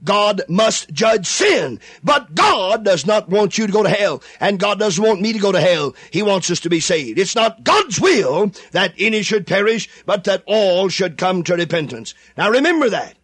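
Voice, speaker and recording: Fiery male radio preacher, high-pitched and animated, hammering phrases with repetition. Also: husky, no room sound, clean studio sound. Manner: impassioned, rising to shouts then dropping low; drawn-out emphatic repetition. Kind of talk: fervent radio sermon.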